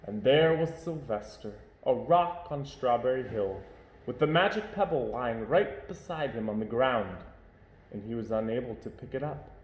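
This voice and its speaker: A man reading a children's storybook aloud at home, with an expressive, varied delivery. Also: medium pitch, normal speed, reverberant, poor audio.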